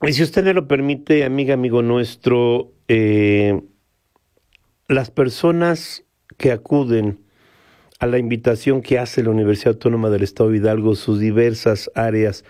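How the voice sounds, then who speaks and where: A man's voice: mid-range voice, moderate pace, warm tone, no room sound, clean studio sound. A man with a Mexican accent hosting a radio news report, addressing listeners as friends in formal broadcaster phrasing. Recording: radio broadcast with faint music.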